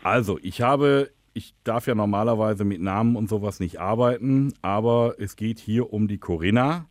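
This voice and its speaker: A man's voice: on the low side, normal speed, no room sound, studio quality. A man talking casually and conversationally as the host of a prank call show.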